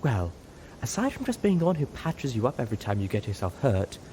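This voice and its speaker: A man's voice: mid-range voice, very animated, brisk, warm tone, slight background noise, voice pushed up, lightly acted. A man voicing a refined female pony with a frayed temper, haughty, snide and weary in a story reading. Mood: bitter.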